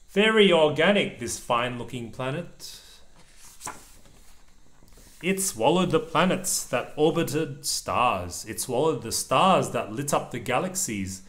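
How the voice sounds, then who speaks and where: A man reading a children's picture book aloud to a small child, pausing to explain things in simple words. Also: mid-range voice, a bit bright. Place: indoors at a table over the open book.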